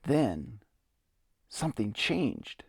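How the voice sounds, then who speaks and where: Man, memoir narration, dramatic reading, reflective, earnest, lively intonation, soft music underneath. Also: fairly low pitch, extremely slow, creaky, breathy edge, no room sound, clean studio sound.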